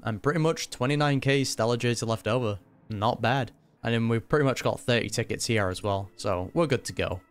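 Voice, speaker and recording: A man giving informal play-along commentary on a game, reacting out loud. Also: medium pitch, brisk, clear, resonant tone. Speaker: gaming reaction streamer. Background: game music.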